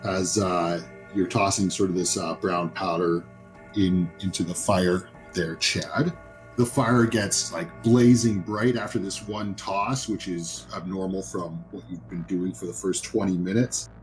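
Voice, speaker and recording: Man, low voice, game master, descriptive horror narration, conversational, music behind. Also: steady tone, measured pace, poor audio. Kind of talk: improvised narration of a horror tabletop roleplaying game.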